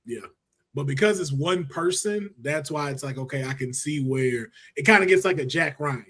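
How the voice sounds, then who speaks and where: An American man with a slightly high voice talks casually on a panel. He is opinionated and slangy, often repeating a phrase to drive it home. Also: expressive, moderate pace, fairly bright tone. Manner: colloquial, slangy, emphatic repetition. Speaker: podcast panelist judging picks.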